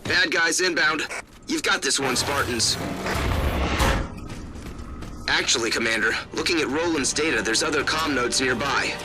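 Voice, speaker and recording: An actor playing an upbeat male military comms officer who gives quick, clipped radio commands. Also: mid-range voice, background noise, natural voice. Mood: commanding. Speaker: mission operator. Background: loud game music.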